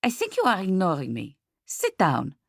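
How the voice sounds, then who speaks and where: A woman voicing a commanding, excitable female art agent in an audiobook, with emphatic, slightly stilted phrasing. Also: lowish voice, moderate pace, no echo, clean studio sound, voice pushed up, full character voice. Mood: firm, insistent. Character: diplomatic, bossy, excitable French female art agent, exultant and insistent.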